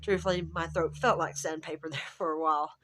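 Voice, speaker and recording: A woman with a hoarse, gravelly voice that is still recovering, chatting casually and rambling to camera at home as a travel vlogger. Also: low-pitched, steady tone, brisk, a bit bright. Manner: rambling, chatty, self-interrupting. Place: at home, indoors.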